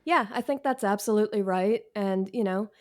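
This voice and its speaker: A woman in her thirties speaks in a middle-pitched voice as a guest in a candid conversation. She is frank and slangy, with a self-deprecating way of putting things. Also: moderate pace. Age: 30s. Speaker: author, podcast guest.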